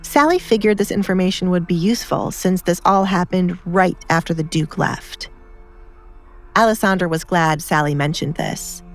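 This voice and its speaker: A woman's voice: fairly low pitch, moderate pace. Woman narrating an audiobook over music. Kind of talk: scripted story narration. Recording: music behind the voice.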